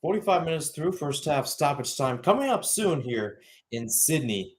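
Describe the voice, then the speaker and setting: A man's voice: on the high side, sing-song, moderate pace. A young man calling live soccer play-by-play in a radio style, often correcting himself and slipping into conversational asides. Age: young adult. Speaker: play-by-play commentator.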